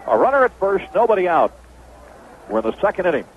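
A man's voice: sits high, sing-song, fast talker, phone-quality sound. An American man calling baseball play-by-play as a 1970s radio announcer. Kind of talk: 1970s radio sports commentary. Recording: noisy phone-band vintage radio broadcast.

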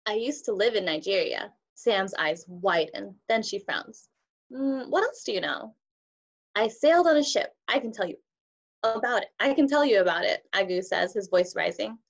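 A woman's voice: mid-range voice, animated, moderate pace. A young woman teacher reading a children's picture book aloud on a video call. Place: indoors, on a group video call. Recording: dull, muffled video call audio.

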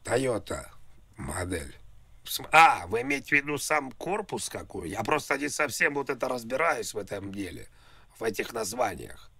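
A man on a prank call, talking in a halting, repetitive way like a naive, confused customer. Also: mid-range voice, big pitch swings, gravelly, sharp tone, husky, no room sound. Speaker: prank caller. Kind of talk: prank phone call, rambling improvised talk.

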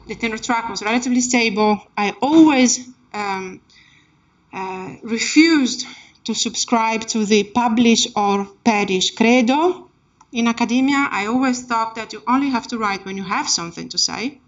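A woman's voice: on the high side, moderate pace. A woman giving an academic keynote talk as personal storytelling, with an emphatic, impassioned delivery. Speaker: keynote speaker, academic researcher. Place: indoor seminar room, speaking at a lectern. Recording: dull, muffled lecture-room recording.

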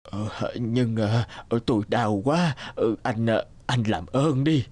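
A man's voice: medium pitch, slow pace, darkish tone. A man playing a humble, injured old traveller in a cartoon, speaking hesitantly and apologetically, with groans. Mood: pained, humble. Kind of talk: cartoon dubbing.

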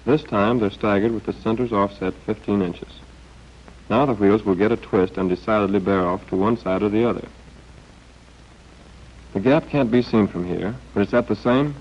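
An American man narrating a scripted 1940s training film in a wry, understated way, on an old film soundtrack. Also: lowish voice. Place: voice-over recorded for a film. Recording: dull, band-limited old film soundtrack.

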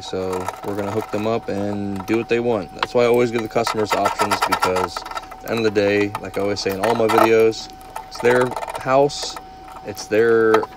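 A young man working as a plumber, chatting casually and teasing his viewers while filming himself on a job inside a house. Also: on the low side. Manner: playful, teasing, calls viewers "baby". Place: basement and tiled bathroom in a house, indoors. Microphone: phone held or worn, first-person view. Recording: noisy job-site recording.

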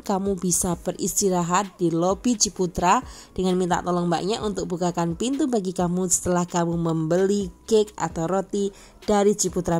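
A woman's voice: medium pitch, darkish tone. A woman with an Indonesian accent giving an upbeat, scripted voice-over for a food vlog, reading out prices and menu items in lists. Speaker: food vlogger.

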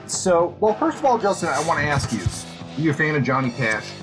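A man's voice: on the high side, very animated, brisk, over music. A man hosting a podcast, giving informal, enthusiastic reviews in a conversational way. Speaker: podcast host and book reviewer.

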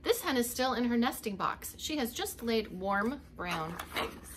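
A woman's voice: a little high, expressive, a little slow, noisy background. A middle-aged woman reading a picture book aloud as a preschool teacher, expressively, with little asides to young children. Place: indoors, home living room.

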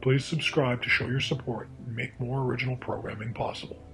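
A man's voice: medium pitch, steady tone, fairly quick, bright, forceful tone, faint music behind, low-quality recording. A man giving a formal closing announcement for a radio drama. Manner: formal, smooth announcer delivery.

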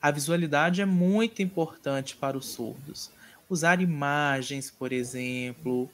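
A man teaching an online mini-course in a measured, explanatory way, often pausing on hesitation fillers. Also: a little high, a bit bright. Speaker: course instructor.

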